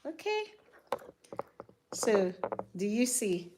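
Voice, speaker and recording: A woman's voice: mid-range voice, sing-song, extremely slow, noisy background. A middle-aged woman with a Nigerian accent, chatting casually to viewers as she does her daughter's hair at home, laughing often. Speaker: hair care vlogger and mother. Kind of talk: casual tutorial chat to viewers.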